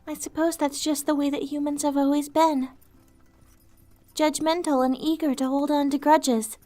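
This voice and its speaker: A woman voicing a gentle, weary female vampire outcast in an ASMR roleplay, soft-spoken, wistful and earnest, with formal wording. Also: sits high, flat delivery, normal speed, faint music behind, close to an everyday voice. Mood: wistful, resigned.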